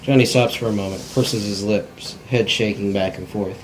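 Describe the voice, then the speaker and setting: A man's voice: lowish voice, steady tone, moderate pace, poor audio. A man reading stage directions in an amateur cold table read, plainly and with stumbles over words. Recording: faint background noise.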